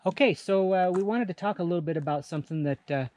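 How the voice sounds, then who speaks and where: A man talking casually to camera outdoors in a halting way, searching for his words. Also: high voice, a little mellow. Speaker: amateur radio hiker hosting a summit video. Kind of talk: casual vlog talk to camera. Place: outdoors on a rocky mountain summit.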